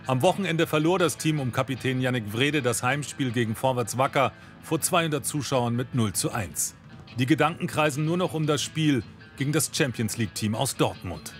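A man's voice: mid-range voice, normal speed, faint music behind. A man narrating a scripted television news report.

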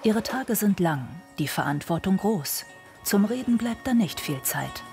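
A woman reading a scripted news report in a calm, measured way. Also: mid-range voice. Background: music bed.

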